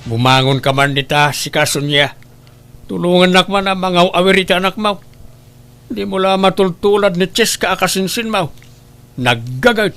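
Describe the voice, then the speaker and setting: A man's voice: high-pitched, normal speed, a bit bright, soft background music. A man with an Ilocano accent playing a nagging, grumbling older father in a comedy drama. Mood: ashamed, complaining. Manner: complaining, scolding. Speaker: drama actor.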